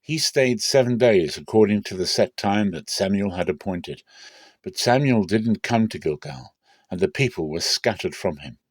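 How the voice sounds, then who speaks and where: A man narrates scripture in a low voice with a dry, close sound. His delivery is measured and deliberate, shaped as a dramatic reading. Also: animated, no room sound. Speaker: Bible reader.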